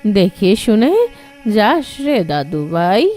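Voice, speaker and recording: A woman voicing a doting old village grandmother in a cartoon, speaking gently and sadly. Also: medium pitch, sing-song, faint music behind. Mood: downcast. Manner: slow, gentle, downcast. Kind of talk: Bengali cartoon voice acting.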